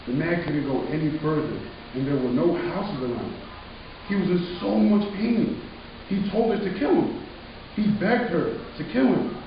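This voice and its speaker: A man's voice: a little high, dull audio, natural voice. A man playing a bitter, taunting older brother, haunted and defiant, in a dramatic reading. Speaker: stage reader. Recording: echoey room from far off, hissy.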